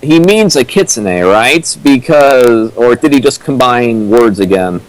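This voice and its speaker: Dry, sardonic mid-pitched man. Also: animated, unhurried, dry recording, low-quality recording. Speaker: co-host commenting on fan fiction. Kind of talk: critical commentary.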